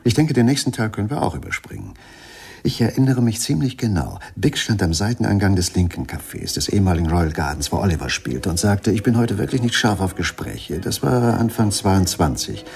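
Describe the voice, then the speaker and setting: An actor playing a wry, unflappable jazz musician recalling rough nightclub days in a radio play, with dry storytelling. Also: male voice, on the low side, very animated, fairly quick, darkish tone, heavily acted. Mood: reminiscing.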